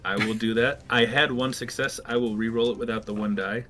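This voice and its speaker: A man's voice: medium pitch, fairly even intonation, a little fast. Male tabletop player, average register, casual delivery, light music in the background. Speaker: player in a tabletop roleplaying game. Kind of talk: casual tabletop game talk. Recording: faint music under the voice. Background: soft background music.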